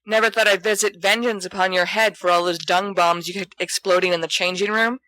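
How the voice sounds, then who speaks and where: A woman reading a storybook as a bossy, sharp-tongued young woman with a booming, mocking manner. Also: steady tone, a little fast, dry recording, lightly acted, low-quality recording.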